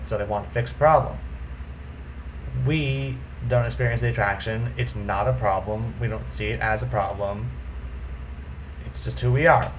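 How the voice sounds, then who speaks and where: A young man with a deep voice talks to the camera in a casual vlog. He is animated, his pitch leaping up and down to stress his points. The recording sounds a little muffled, with a faint hiss. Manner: animated, swings pitch up and down for emphasis. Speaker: vlogger host. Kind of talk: casual talking-head vlog.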